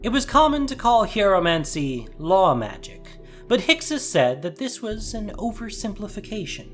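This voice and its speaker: A man's voice: sits high, sing-song, normal speed, a bit bright, faint music behind. A man narrating a fantasy audio drama as a scripted, dramatic storyteller.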